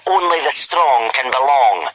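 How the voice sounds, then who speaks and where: Man acting a sneering, cocky boy bully, pitched up. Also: lively intonation, unhurried, fairly bright tone, no echo, rough recording. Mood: sneering, bossy. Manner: sneering, commanding.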